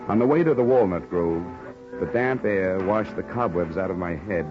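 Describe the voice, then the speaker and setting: Man acting as hard-boiled American investigator, low voice, dry sardonic wisecracks, radio noir narration, muffled vintage recording with music behind. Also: normal speed, natural voice. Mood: wry, reflective. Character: wry, hard-boiled insurance investigator narrating his case. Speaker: lead actor and narrator. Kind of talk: old-time radio noir detective acting.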